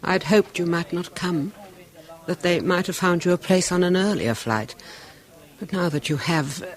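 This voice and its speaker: An actress playing the anguished wife of a tormented violinist in a 1970s radio drama, speaking in an intense, confiding way that drops to hushed whispers. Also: female voice, fairly low pitch, unhurried, close to an everyday voice. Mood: haunted.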